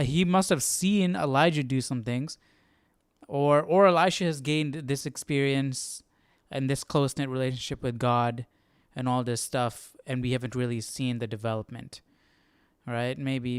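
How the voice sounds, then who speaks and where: A man hosting a Bible study livestream, thinking aloud in a casual, informal way and often halting to search for words. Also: mid-range voice, smooth, dry recording, clean studio sound.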